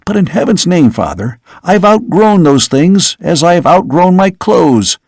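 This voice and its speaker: An agitated young man who pleads and insists with rising passion, as a male narrator puts him on. He sits noticeably higher than the reader's own voice, in a storybook reading. The sound is close and dry. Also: animated, normal speed, a little mellow, no room sound. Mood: impassioned. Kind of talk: audiobook character reading.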